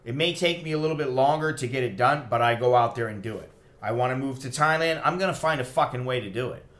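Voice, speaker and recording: A man's voice: mid-range voice, quick pace, a bit bright. Middle-aged American male expat vlogger, mid-range voice, tipsy, opinionated and sweary, informal off-the-cuff livestream talk. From United States. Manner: blunt, profane, opinionated. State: tipsy after a few drinks. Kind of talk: casual rambling livestream chat.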